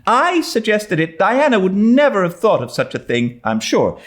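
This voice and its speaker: A man's voice: sits high, huge pitch swings, normal speed, voice pushed up. A man voicing an earnest, dramatic young orphan girl with a pleading, resolute manner in an audiobook. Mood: earnest confession. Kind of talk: dramatised audiobook reading of a classic novel.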